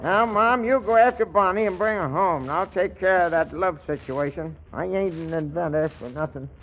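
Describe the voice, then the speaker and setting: An actor playing a folksy, excitable family father and amateur inventor in a 1940s radio comedy, with homespun blustery speech. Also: sits high, normal speed, over a noisy crowd. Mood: decisive. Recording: dull old 1940s radio recording.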